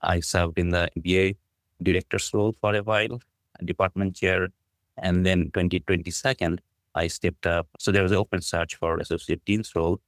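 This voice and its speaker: A man's voice: low-pitched, steady tone, brisk, no room sound. A middle-aged man from Bangladesh with a Bangladeshi accent, earnestly telling his story as an interview guest in a conversational way. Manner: earnest, appreciative, frequent "like, you know". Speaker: podcast guest, business school dean.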